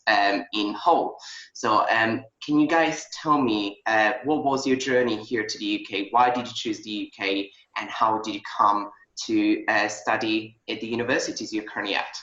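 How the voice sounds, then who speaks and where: A man's voice: on the low side, fairly bright tone. A man in his twenties with an Italian accent, hosting an online student panel discussion. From Italy. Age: 20s. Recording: echoey room, muffled online call audio.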